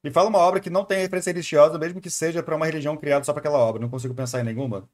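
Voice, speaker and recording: A man's voice: on the high side, steady tone, very fast, a little mellow. A man with a Brazilian accent giving casual live commentary as a reaction streamer, rambling and thinking aloud in slang.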